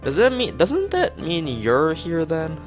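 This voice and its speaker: A man's voice: fairly high pitch, wildly swooping pitch, unhurried, faint music behind, pitched above the performer's own voice. A man voicing an awkward, polite teenage schoolboy with a hesitant manner in visual novel dialogue. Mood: unsure, awkward.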